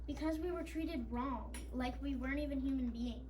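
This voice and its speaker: High-voiced determined teenage girl played by a woman, distant mic. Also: moderate pace, mellow, lightly acted. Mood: earnest, firm. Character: wronged, determined fifteen-year-old schoolgirl testifying and standing firm. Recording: distant mic with faint room noise.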